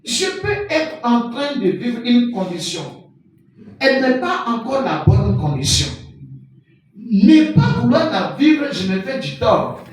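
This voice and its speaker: A man preaching a sermon as pastor, animated and full of everyday examples. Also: very high-pitched, takes it slowly. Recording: echoey hall from far off with music.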